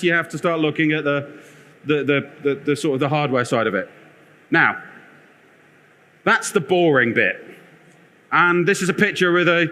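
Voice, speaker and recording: Loud, bright-voiced man presenting on stage. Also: high voice. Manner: loud, energetic, self-deprecating, wanders the stage. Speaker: conference speaker, product lead. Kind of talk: live conference presentation. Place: conference theatre.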